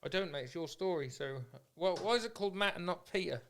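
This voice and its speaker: A man with a fairly high voice hosts a casual chat. He speaks informally, in an encouraging and matey manner, as an interviewer among friends would. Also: lively intonation, fast talker. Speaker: podcast host.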